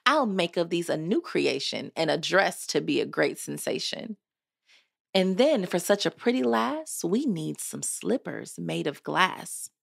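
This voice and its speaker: A woman's voice: lowish voice, lively intonation, creaky, breathy edge, full character voice. Woman voicing a tiny kindly, bossy fairy godmother. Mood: chanting spells. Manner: brisk, affectionate, chanting rhymed spells.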